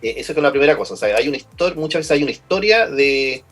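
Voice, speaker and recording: A Chilean man speaking as a medical guest, his voice husky and a little breathy. He explains things patiently and often repeats words while he searches for the right phrase. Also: medium pitch, expressive, fast, a bit bright, soft background music. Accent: Chilean Spanish. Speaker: pulmonologist guest. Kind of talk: explanatory interview answers.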